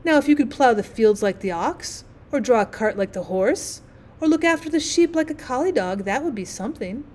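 A woman's voice: a little high, animated, normal speed, a little mellow, lightly acted. A woman voicing a peaceable, homely female duck in a storybook, mild, chatty and practical.

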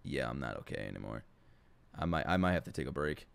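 Guy streamer reacting live, super animated, jokey and sweary, music playing behind him. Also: extremely low pitch, fast, creaky. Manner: exclamatory, joking, swearing. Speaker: streamer reacting to game videos. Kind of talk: live reaction commentary, crude slangy banter. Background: game trailer music.

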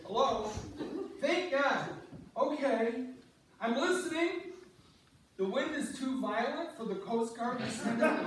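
A man acts out a flustered, pleading guy in a stage play, high-pitched and slow. He sounds distant in a very echoey hall. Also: lively intonation, noisy background, exaggerated voice. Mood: exasperated. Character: flustered, pleading man stranded on ice fishing trip. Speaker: amateur reader's theater performer. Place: church sanctuary stage before an audience. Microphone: far audience camera.

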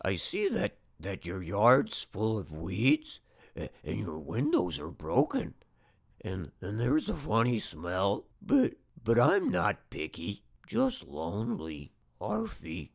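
A man voicing an eager, lonely homeless puppy who pleads haltingly in a storybook read-aloud. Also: on the low side, gravelly, voice pushed down, exaggerated voice. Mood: lonely, downcast. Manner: hesitant, stammering, expressive.

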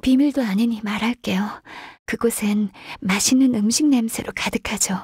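Shy polite half-qilin secretary girl from a game, really breathy n soft, kinda high, hesitant. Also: normal speed, no echo, studio quality. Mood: shy, flustered. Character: gentle, shy, earnest half-qilin secretary, young-looking woman centuries old. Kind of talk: video game character voice lines.

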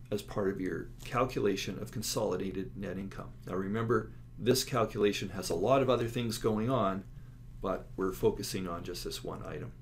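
Low-voiced male instructor, noisy recording. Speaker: accounting instructor. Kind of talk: lecture explaining slides step by step. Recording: clear steady background noise.

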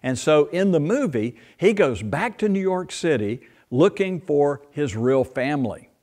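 An older man in his sixties, a pastor who talks slowly and playfully to a group of children. His pitch rises and falls a lot as he explains things. He is recorded by a camera across a church sanctuary. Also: very animated, darkish tone. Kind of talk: children's sermon. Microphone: far camera.

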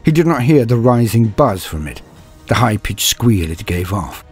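A man's voice: mid-range voice, darkish tone, husky. A man narrating scripted fiction and lore in a theatrical, grandiose storyteller manner.

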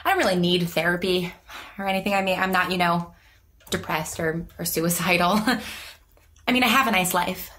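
A woman's voice: lowish voice, fairly even intonation, normal speed, a bit bright, slight background noise, close to an everyday voice. Nervous, stammering young woman in therapy, acted. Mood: evasive, nervous. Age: young adult. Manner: hesitant, stammering, self-conscious rambling. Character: nervous, rambling young woman with secret ability. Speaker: therapy patient character. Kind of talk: naturalistic audio drama acting.

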